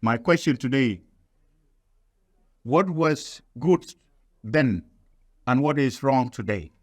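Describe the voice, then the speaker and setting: A man from Sudan, giving deliberate, emphatic interview answers in nonstandard English. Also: mid-range voice, expressive, no room sound, studio quality. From South Sudan. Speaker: guest, activist.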